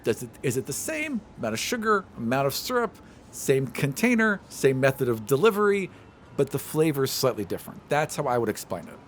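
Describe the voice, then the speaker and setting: A man's voice: medium pitch, sing-song, brisk, slight background noise. A man working as game master, narrating a tabletop game in a casual, improvised way.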